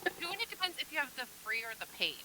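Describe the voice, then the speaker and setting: A woman's voice: high-pitched, big pitch swings, fairly quick, pushed and bright, no echo, poor audio. A woman guest quilt designer in a casual chat, talking in an easygoing, hesitant way with frequent ums.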